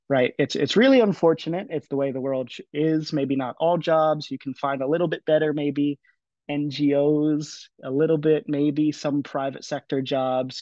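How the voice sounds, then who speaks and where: A man's voice: on the high side, a little mellow, no room sound. A man in his twenties speaking casually on a panel over a video call, with frequent fillers and restarts. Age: 20s. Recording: dry, dull lo-fi audio.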